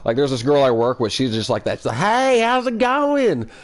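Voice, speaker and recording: A man's voice: medium pitch, lively intonation, normal speed. A man of about thirty from Oklahoma with a slight twang, chatting casually and joking while streaming a football game. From Oklahoma, USA. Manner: laid-back, joking, chatty. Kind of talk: casual gaming chat.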